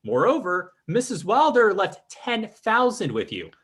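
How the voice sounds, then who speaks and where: A man acts out an imperious phony mystic from an old radio crime play. His voice swings widely and has a forceful edge. He speaks formally and grandly, like one who expects to be obeyed. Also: sits high, huge pitch swings, a little slow, slightly rough, full character voice. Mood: stern, domineering. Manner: pompous, formal, commanding. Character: sly, imperious male fake psychic and crime boss. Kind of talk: old-time radio drama acting.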